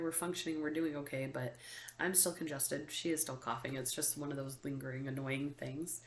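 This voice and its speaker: A woman's voice: low voice, roomy sound, slight background noise. A young woman with a stuffy, congested voice chatting casually to camera as a vlogger, with lots of ums and likes. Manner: chatty, many ums and likes, laughs often. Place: room with window open, indoors.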